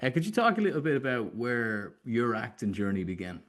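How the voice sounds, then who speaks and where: A man's voice: mid-range voice, sing-song, fairly quick. A man hosting an interview show, chatting casually in an effusive, informal way.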